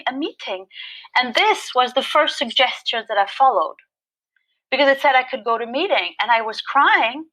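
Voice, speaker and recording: German-accented woman guest, loud and bright, laughs through her own story. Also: high-pitched, low-quality recording. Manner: animated, laughing, self-mocking. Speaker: guest sharing recovery story. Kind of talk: personal storytelling interview.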